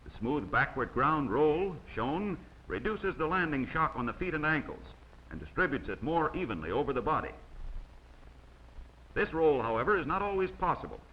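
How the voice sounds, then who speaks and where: A man reading scripted instructional narration in a measured way, as the narrator of a training film. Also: medium pitch, big pitch swings. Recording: dull, noisy old 16mm film soundtrack.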